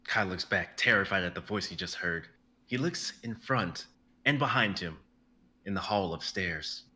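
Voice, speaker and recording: Deep-voiced young man reading stage directions. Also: normal speed, bright, forceful tone, slightly rough. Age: young adult. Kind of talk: dramatic script reading.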